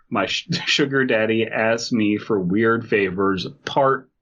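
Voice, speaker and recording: A man's voice: fairly low pitch, slow pace, fairly bright tone. A man co-hosting a comedy podcast, bantering casually and reading aloud in a jokey, riffing way. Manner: jokey, riffing, often breaking into laughter. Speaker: podcast co-host. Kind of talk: casual comic banter mixed with story reading. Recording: dull, lo-fi audio.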